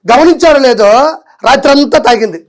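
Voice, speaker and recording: A man's voice: very high voice, expressive, moderate pace, noisy background. A man delivering mocking political commentary in an accusatory, colloquial manner.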